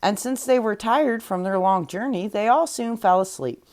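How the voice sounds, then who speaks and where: A woman reads a fairy tale aloud as a storyteller. Her voice sits at a middle pitch, and the narration is expressive and scripted. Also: normal speed.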